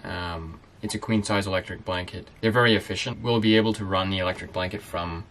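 Deep-voiced young man in a boat cabin. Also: steady tone, a little fast, fairly bright tone. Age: young adult. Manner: easygoing, explanatory, many fillers. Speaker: sailboat-living vlogger. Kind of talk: casual chat. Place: small cozy sailboat cabin, indoors.

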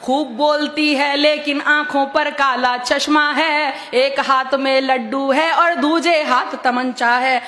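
A woman's voice: sits high, flat delivery, takes it slowly, hardly any pauses, bright, forceful tone. A middle-aged woman poet reciting verse on stage at a podium microphone, declamatory and emphatic, repeating couplets to the audience. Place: stage at a public gathering with podium. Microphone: stand microphone on podium.

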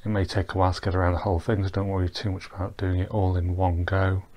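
Low, flat-voiced man guiding a virtual tour. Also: quick pace. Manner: relaxed, rambling, with ums and restarts. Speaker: tour guide. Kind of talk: casual voice-over walkthrough of a virtual tour. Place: recorded while wearing VR goggles, not on the boat.

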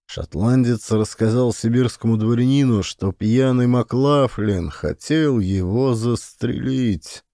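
A man's voice: on the low side, dark tone, no room sound, studio quality. A man reading a historical adventure audiobook as scripted narration.

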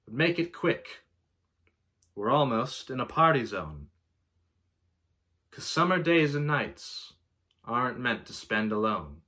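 Slow, theatrical young man reciting verse. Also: mid-range voice, very animated, pushed and bright. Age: 20s. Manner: theatrical, deliberate, pompous delivery. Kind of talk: mock-dramatic poetry reading. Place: small bedroom, indoors.

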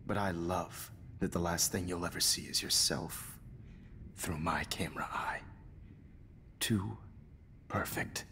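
An actor playing a smug, sinister middle-aged killer teacher in a video game, with a breathy, pretentious, measured delivery. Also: male voice, low voice, fairly even intonation, pushed and bright, whispered, full character voice. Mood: condescending.

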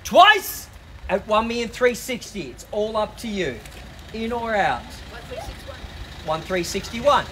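A man with an Australian accent working as an auctioneer, calling bids at a live property auction on a suburban driveway outdoors and pressing the bidders to act quickly. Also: high voice, lively intonation, bright, forceful tone, slight background noise. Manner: brisk, persuasive, pushing bidders to act.

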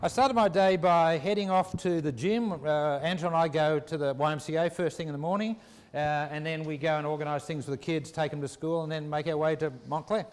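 A middle-aged man with an Australian accent giving measured, unscripted interview answers with some hesitant phrasing. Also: a little high, steady tone, clear, resonant tone. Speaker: business school dean, interviewee. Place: walking through a university building. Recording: echoey indoor hallway.

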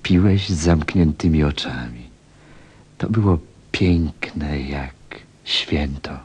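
A man's voice: a bass voice, slow, a little mellow, breathy edge, full character voice. An actor playing a tender, thoughtful pilot in a radio play, a grown man speaking with reflective feeling. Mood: moved, reverent. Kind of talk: radio play acting and first-person narration.

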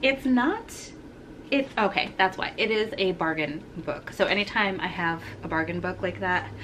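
A woman's voice: fairly low pitch, moderate pace, a bit bright, a little hiss or hum. A young woman chatting frankly about books she is giving away, a little congested with a slightly sore throat, recorded in a home room. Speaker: book vlogger. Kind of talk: casual book unhaul chat.

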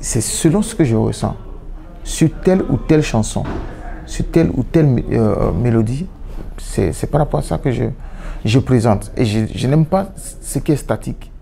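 Male, 30s, Yoruba first language; gravelly, mellow tone; halting, reflective interviewee on a sofa, light hiss. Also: medium pitch. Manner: reflective, with frequent restarts and repeated words. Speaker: musician. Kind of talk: interview answers. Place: indoor room, seated on a sofa.

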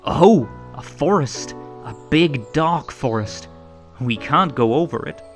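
Very slow, expressive man reading a storybook. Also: on the high side. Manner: theatrical, rhythmic, expressive. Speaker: storybook narrator. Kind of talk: animated children's read-aloud. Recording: music under the voice. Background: music.

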